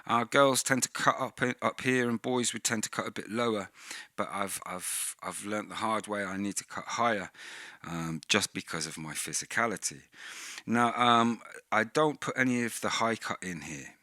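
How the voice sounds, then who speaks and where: A man's voice: fairly low pitch, normal speed, bright, forceful tone, no room sound. A man hosting a casual tutorial on vocal effects settings, talking close into a vocal microphone with a pop screen, sometimes right against it. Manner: close-miked, talks right on the mic at times. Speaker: host. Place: indoor room, dim light. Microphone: vocal mic with pop screen through mixer.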